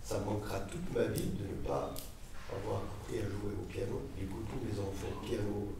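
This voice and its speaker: A man's voice: lowish voice, normal speed. An older man from Morocco speaking to a student audience in a seminar room, telling stories with many fillers and digressions. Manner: digressive storytelling, lively gestures, frequent fillers. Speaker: guest speaker. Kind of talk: informal lecture to students. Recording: echoey room, distant mic, faint noise.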